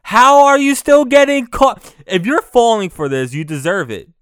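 A man's voice: very high-pitched, animated, moderate pace, a bit bright, clear, resonant tone, dry recording, studio quality. A young man, hosting his own podcast in a loose, profane, mocking solo rant full of restarts. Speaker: podcast host.

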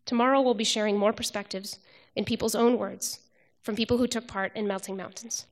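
A woman reading a prepared essay aloud at a public event in an even, measured way. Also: medium pitch. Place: arts center event hall.

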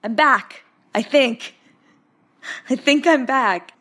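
Brief: tired, sardonic woman comic host, a touch raspy, higher-pitched voice. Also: slow, bright, forceful tone. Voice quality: getting raspier. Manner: dry, mocking, puts on silly voices for bits. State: exhausted. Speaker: comedy podcast host. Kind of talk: sarcastic comic monologue.

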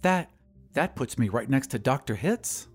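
A man's voice: mid-range voice, animated, moderate pace, breathy edge, faint music behind. A man voicing a coarse, formidable female government hostess who talks in a plain, naive, earnest way, in an audio story. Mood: humbled awe.

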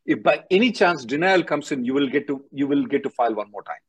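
A man's voice: medium pitch, expressive, quick pace, breathy edge. A man giving blunt, directive advice as an attorney hosting a call-in, repeating himself for emphasis. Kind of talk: conference call Q&A, quick advice.

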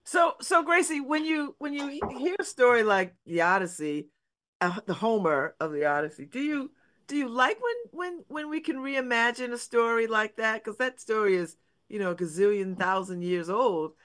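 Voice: mid-range voice, lively intonation, moderate pace, fairly bright tone. A community radio host in a studio, asking casual questions with brief encouraging replies.

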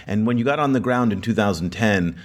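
A man with a low voice hosts a podcast in easy conversation. He tells stories at a loose, unhurried pace, with the odd "uh" along the way. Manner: relaxed, storytelling with frequent fillers. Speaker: podcast host.

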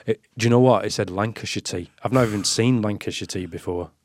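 A man hosting a casual interview, affable and quick with short agreeing words. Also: on the low side, no room sound. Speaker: podcast host.

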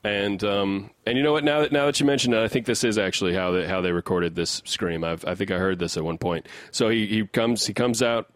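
A man co-hosting a casual comedy music podcast, riffing haltingly and repeating his words as he goes. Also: lowish voice, dry recording. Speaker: podcast co-host.

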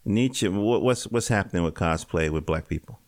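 Male host, lowish voice, laid-back and chatty, dry home-studio sound. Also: normal speed, no echo. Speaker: podcast host. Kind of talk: casual interview. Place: small home studio in a dining room. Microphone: home studio mic.

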